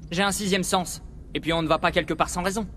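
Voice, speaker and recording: Cocky grumbly space pilot for a game, highish voice, big reverb. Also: male voice, fairly even intonation, fast talker, a bit bright, a little hiss or hum. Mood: grumbling, sarcastic. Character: cocky, grumbling, paranoid young military starship pilot. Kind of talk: video game voice acting.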